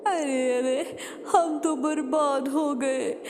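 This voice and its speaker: A woman's voice: high voice, steady tone, very slow, over music, voice pushed up. A woman voicing a distraught female parrot in a cartoon story, lamenting in a wailing manner. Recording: noisy, long hall-like reverb.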